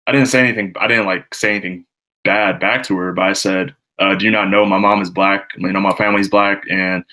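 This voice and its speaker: Low-voiced young man, casual and rambling. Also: brisk. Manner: relaxed, rambling with many fillers. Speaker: student guest. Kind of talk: casual conversation.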